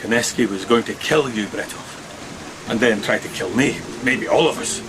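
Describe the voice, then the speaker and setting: A man's voice: mid-range voice, normal speed, natural voice. Man playing a stern, haughty first mate, noisy background. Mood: stern, defensive. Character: stern, self-justifying ship's first mate, haughty with underlings. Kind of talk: gothic horror radio drama acting. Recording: loud storm noise behind.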